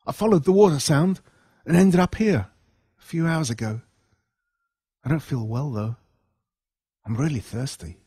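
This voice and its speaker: A man plays a scared, worn-out boy from a horror audiobook with an Australian accent. The voice sits well above a grown man's usual pitch, with emphatic, exclaiming delivery and a slightly rough edge. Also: measured pace, pauses often, warm tone, no room sound, studio quality. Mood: pained, aggrieved. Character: scared, hurt boy, drained and bewildered best friend. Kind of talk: acted dialogue in horror audiobook.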